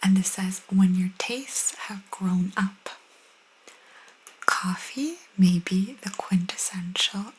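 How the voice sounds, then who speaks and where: A young woman whispering softly and intimately as an ASMR host, reading and chatting casually close to the microphone. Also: medium pitch, a little hiss or hum, rough recording. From Italian cultural background. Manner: soft, intimate, chatty asides.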